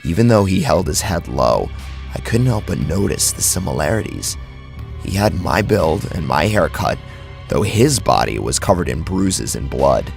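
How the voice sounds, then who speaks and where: Deep, gravelly male horror narrator. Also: big pitch swings, normal speed. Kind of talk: dramatic scripted first-person narration. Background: background music.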